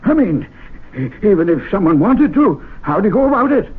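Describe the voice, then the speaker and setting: A fussy, excitable gentleman of a private club in a vintage radio play. His voice is very high and strongly husky, and his pitch swings all over the place. The sound is thin and tinny, like an old broadcast. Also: normal speed, heavily acted. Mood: chatty. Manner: fussy, eager, rambling, given to excited outbursts. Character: excitable, fussy middle-aged male gentleman's club member.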